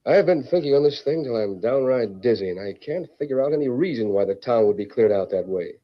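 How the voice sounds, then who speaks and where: A man's voice: medium pitch, animated, fairly quick, close to an everyday voice. A man playing a plainspoken frontier rancher with folksy western phrasing in an old-time radio drama. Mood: puzzled. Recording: muffled old radio recording.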